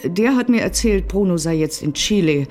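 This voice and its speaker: A woman's voice: fairly low pitch, lively intonation, normal speed, a little mellow, natural voice. An actress playing a dry, disillusioned divorced woman in a radio play, speaking with a sardonic edge. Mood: dry, detached. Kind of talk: radio drama acting.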